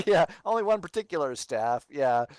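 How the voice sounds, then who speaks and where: A man's voice: high voice, dry recording. A man from Texas with no regional accent, hosting a livestream and answering viewer questions in casual, think-aloud chat.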